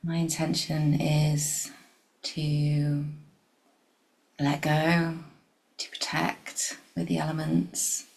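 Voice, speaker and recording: A woman guides a meditation very slowly, leaving long pauses between short phrases. Her pitch stays on the low side and quite level, with a gravelly fry in it. The delivery is soft and soothing, sometimes thinning toward a breathy whisper. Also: monotone, poor audio.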